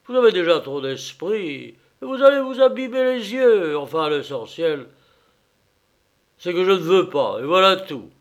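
Storybook bully in a mask: loud, jeering, commanding, read by a man. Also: high voice, expressive, a little slow, voice pushed up. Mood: rowdy. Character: rowdy drunk masked man, bossy and mocking.

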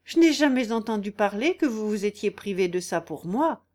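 A woman voicing a humble young peasant woman in a story, speaking plainly with gentle firmness. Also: mid-range voice, animated, normal speed, lightly acted. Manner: plain, firm, gently resolute.

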